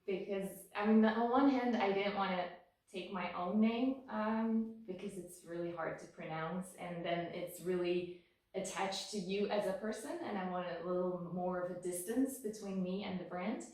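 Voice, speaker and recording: A woman's voice: mid-range voice, normal speed, a little mellow, recorded from a distance. A woman, answering interview questions in a thoughtful way, with frequent ums and restarts. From Belgium. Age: 30s. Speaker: guest. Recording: echoey room, voice a bit off-mic.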